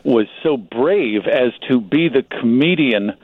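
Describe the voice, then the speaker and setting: A man's voice: mid-range voice, lively intonation, slow, no room sound. A man speaking as a radio guest, giving thoughtful conversational commentary from a remote line. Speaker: guest, magazine publisher and broadcaster. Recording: narrow phone-like line.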